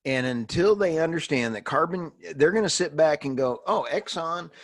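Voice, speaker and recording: A man co-hosting an energy industry talk show with casual, jokey commentary delivered in halting bursts. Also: a little high, lively intonation, no room sound. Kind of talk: casual commentary and joking banter.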